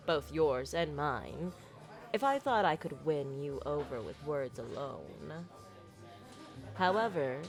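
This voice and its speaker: Flirty, low-voiced pirate captain played by a woman. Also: exaggerated voice. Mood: flirty, charming. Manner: theatrical, flowery, sincere phrasing with deliberate pauses. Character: flirty silver-tongued female pirate captain, tender and grateful. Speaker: audio roleplay performer. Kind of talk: ASMR roleplay monologue, romantic storytelling. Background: background music.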